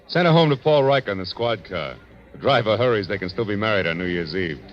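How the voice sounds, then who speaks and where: A man's voice: lowish voice, animated, fast talker, close to an everyday voice. A man playing a world-weary, reflective hard-boiled detective in 1940s American radio noir style. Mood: matter-of-fact. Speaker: lead actor and narrator. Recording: dull old radio recording, music behind.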